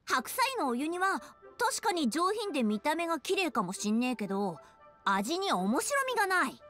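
A woman's voice: sits high, big pitch swings, moderate pace, a bit bright, faint music behind. A woman voicing a brash, friendly young female rock musician in a Japanese anime game, tomboyish and slangy. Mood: frank. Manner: tomboyish slangy speech, encouraging.

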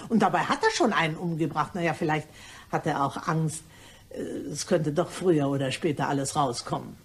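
A gossipy cleaning woman in a crime radio play, played by an actress. Her voice is slightly low and husky. She chatters on dramatically and indiscreetly, savouring every bit of scandal. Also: lively intonation, normal speed, heavily acted. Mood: eager gossip.

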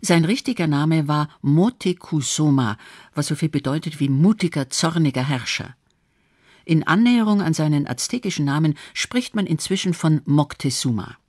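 A woman's voice: deep voice, animated, moderate pace, a little mellow, slightly rough, dry recording. Woman narrating a documentary, dry studio sound. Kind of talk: scripted radio documentary narration.

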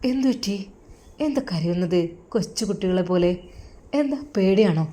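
A woman voicing a gentle newlywed husband who speaks softly and coaxingly, pitched lower and quieter than her own voice. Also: lively intonation, normal speed, natural voice. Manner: soft, low, coaxing.

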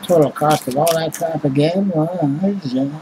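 A man's voice: on the high side, a little slow, dark tone, a little hiss or hum, low-quality recording. A man, rambling and swearing casually as he reads prices off a receipt into a webcam at home. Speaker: vlogger. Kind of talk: casual chat. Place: small home room indoors.

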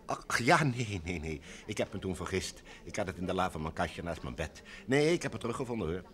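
Deep-voiced, effusive film man. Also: animated, a little fast. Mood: brisk, chatty. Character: chatty, enthusiastic male film man pitching a comeback. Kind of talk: vintage Dutch radio play acting.

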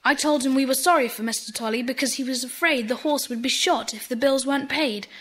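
An eager, polite British schoolboy speaks in a high voice. The delivery is earnest, in the manner of a children's audio play. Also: female voice, fairly quick, a bit bright, slight background noise. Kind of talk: children's audio drama acting.

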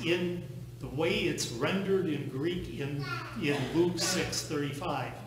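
A priest in his thirties preaches at an unhurried, halting pace, his voice sitting a little high. He is recorded from far across a large church, and the sound rings with strong reverb over a faint hum. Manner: measured preaching with slow, deliberate pauses. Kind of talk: sermon. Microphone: far camera.

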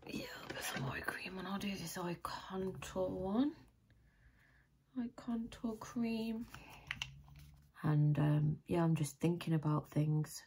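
A British woman in her thirties with a deep, croaky, tired voice, chatting casually and thinking aloud to camera as she vlogs at home. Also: slow pace, dark tone, a little hiss or hum. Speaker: vlogger. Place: small room at home, indoors.

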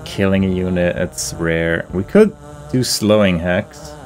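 Low-voiced man musing through game commentary. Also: measured pace, a little mellow, faint music behind. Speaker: gaming video host.

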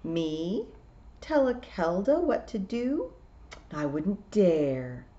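A woman's voice: lowish voice, very animated, very slow, warm tone, lo-fi sound, full character voice. A woman voicing a talking toad with a dry, wry manner.

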